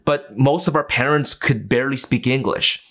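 A man's voice: mid-range voice, moderate pace, vocal fry, fairly bright tone. A middle-aged man, a podcast guest telling stories in casual conversation, with restarts and lots of "you know.". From Japan.

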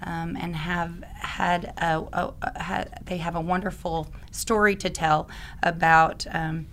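A woman from Oklahoma with a slightly raspy voice, enthusiastically explaining insurance as an interview guest, with many ums. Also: fairly low pitch, flat delivery, slow, a bit bright, slight background noise. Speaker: guest, insurance risk advisor. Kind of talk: conversational interview.

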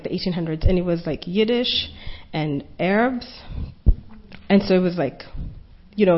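A woman panelist speaking earnestly and reflectively at a conference panel discussion, thinking aloud as she goes. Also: on the low side. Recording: dull lo-fi conference recording.

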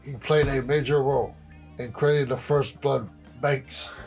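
A man reads prepared narration at a slow pace. His mid-pitched voice has a lot of gravelly fry, with faint guitar music behind it. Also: fairly even intonation, a little mellow. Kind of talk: scripted narration, reading a biography aloud.

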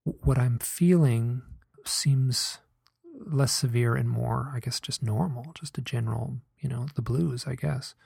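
Brief: earnest soft-spoken man, gravelly husky tone, dry close sound, musing monologue. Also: medium pitch, a little slow, dry recording. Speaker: podcaster recounting a hypnosis session. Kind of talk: reflective personal monologue.

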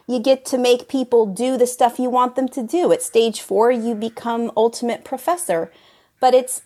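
A fairly high female voice plays a jaded magic-school teacher, acted by a woman. She is dry, weary and analytical, restless for a way out, in an improvised audio comedy. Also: a little mellow.

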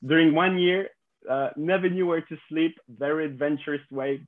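A man in his twenties with a French accent, a podcast guest telling his story in a halting way, with many fillers and restarts. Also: a little high, narrow, tinny audio. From France. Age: 20s. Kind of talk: casual interview storytelling.

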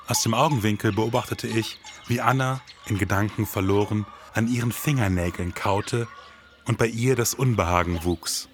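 An actor playing a laid-back, idealistic detective in a scripted noir audio drama, with casual, colloquial speech. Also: male voice, fairly low pitch, moderate pace, a little hiss or hum, natural voice. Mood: noir, deadpan.

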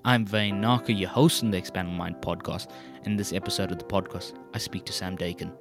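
A male podcast host with a lowish voice opens with a scripted welcome, faint music running underneath. He then shifts into gushing, complimentary questions, stumbling a little over his words. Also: fairly quick. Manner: effusive, flattering, with hesitations. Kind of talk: scripted intro then casual interview.

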